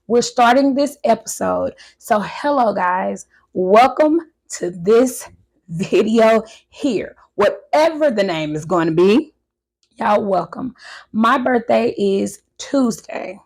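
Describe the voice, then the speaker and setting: A woman in her thirties chatting casually and a bit nervously to her viewers, her voice hoarse from recently losing it. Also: mid-range voice, lively intonation, takes it slowly. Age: 30s. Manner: chatty, nervous, talks straight to viewers as "y'all". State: voice recovering from loss, hoarse. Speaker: vlogger. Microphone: handheld wireless mic.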